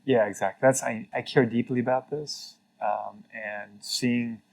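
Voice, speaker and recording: Gravelly-voiced earnest man from Mexico. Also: medium pitch, steady tone, measured pace, darkish tone. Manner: earnest, reflective, many fillers and restarts. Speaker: interview guest, former carbon registry CEO. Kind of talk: casual conversational interview.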